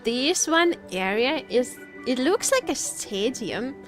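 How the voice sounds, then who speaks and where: A woman's voice: sits high, sing-song. A woman streaming a video game, chatting casually and playfully with her viewers and given to exclaiming and joking. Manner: playful, exclaiming, quick to joke. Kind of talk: casual live gameplay chat with viewers. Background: background music.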